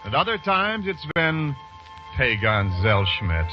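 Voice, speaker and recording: Give me a dramatic, animated male radio announcer. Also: medium pitch, takes it slowly. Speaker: announcer and narrator. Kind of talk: 1940s radio announcing, dramatic scripted narration. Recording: muffled old radio recording, loud music.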